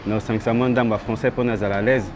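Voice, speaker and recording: Low-voiced young man, street noise around. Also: fairly even intonation. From France. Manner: halting, mixes French and Lingala. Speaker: rapper guest. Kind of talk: street interview.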